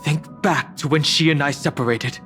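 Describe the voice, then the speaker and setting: A man's voice: a little high, normal speed, a bit bright, soft background music, natural voice. A man voicing a puzzled, uneasy young traveler in a video game. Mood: confused, uneasy.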